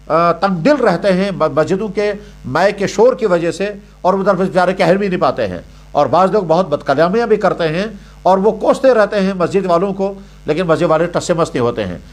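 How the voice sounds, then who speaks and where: A man giving religious guidance in a didactic, emphatic way, repeating points in parallel phrases. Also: sits high. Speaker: Islamic scholar answering religious questions. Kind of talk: religious question-and-answer sermon.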